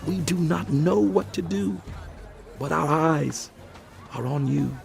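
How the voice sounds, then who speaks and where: A man's voice: fairly high pitch, takes it slowly, gravelly, heavily acted. An actor playing a devout king in a dramatized audio Bible, imploring and declaiming with fervour. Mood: anguished pleading. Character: pleading devout king of Judah, fervent, anguished. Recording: music behind the voice.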